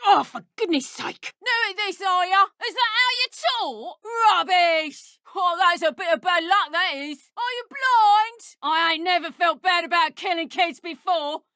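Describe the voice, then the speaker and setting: Brash common english witch baddie in a game, really high n piercing, sneering and scornful. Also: lively intonation, measured pace, hardly any pauses, sharp tone, dry recording, clean studio sound, full character voice. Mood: scornful, jeering. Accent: British, working-class English. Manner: jeering, colloquial, sneering. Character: brash, mocking dark witch thug, cruel and scornful. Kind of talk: video game combat barks.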